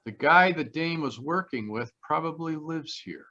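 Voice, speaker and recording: A man's voice: a little high, lively intonation, unhurried, pushed and bright. A man playing a confident, wry private detective in an old-time radio drama, speaking in a clipped, assured way. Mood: assured, deducing. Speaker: actor. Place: live online video call. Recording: slightly dull video-call audio.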